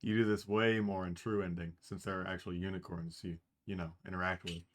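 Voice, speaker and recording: A man with a fairly low voice walks through a speedrun glitch in plain technical terms. His delivery is casual and explanatory. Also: flat delivery, a little fast, a little hiss or hum. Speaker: speedrunner commentator.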